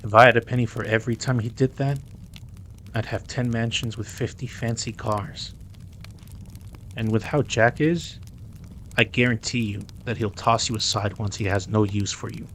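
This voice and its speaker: A man acting as a dominant, cocky mafia boss in an intimate audio roleplay, smooth-talking, teasing and possessive. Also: on the low side, fairly even intonation, normal speed, a bit bright, slight background noise. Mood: candid, persuasive.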